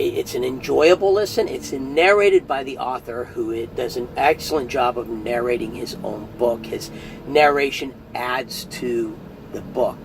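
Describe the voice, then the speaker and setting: A man's voice: medium pitch, vocal fry, noisy background. A middle-aged man giving a casual, earnest spoken review, chatty and often restarting phrases. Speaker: audiobook reviewer.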